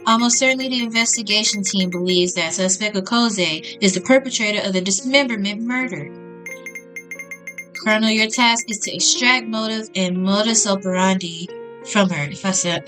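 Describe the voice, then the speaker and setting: A woman's voice: medium pitch, low-quality recording. Informal woman reading a game aloud over music. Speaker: gaming video host. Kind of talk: reading visual novel text aloud with casual reactions. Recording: music behind the voice.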